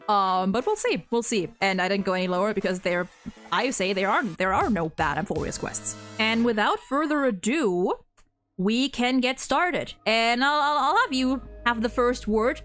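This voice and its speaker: A woman hosting a casual, unscripted opinion chat about games, rambling and thinking aloud in halting phrases. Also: medium pitch, fairly bright tone, soft background music. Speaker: host of a video game ranking video.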